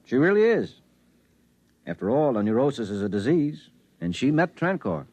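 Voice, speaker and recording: A man's voice: mid-range voice, very animated, normal speed, darkish tone, husky, dry recording. An actor playing a determined, earnest man quitting his job, in 1950s radio drama style. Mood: earnest, resolute.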